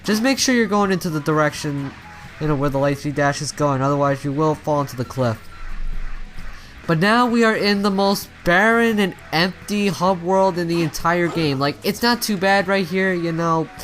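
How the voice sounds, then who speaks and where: A man's voice: on the high side, moderate pace, fairly bright tone, soft background music. A man giving casual let's play commentary, rambling and often stumbling and rephrasing as he goes. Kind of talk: casual video game commentary.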